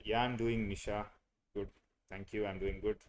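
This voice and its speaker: A man's voice: fairly low pitch, little pitch movement, moderate pace, a little hiss or hum. A young man, teaching a live online class on a video call, hesitant with many fillers and restarts. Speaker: online course instructor.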